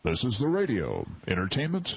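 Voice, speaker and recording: A man announcing programs in a dramatic, measured old-time radio style. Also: medium pitch, wildly swooping pitch, gravelly, breathy edge. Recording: dull old radio recording, loud music.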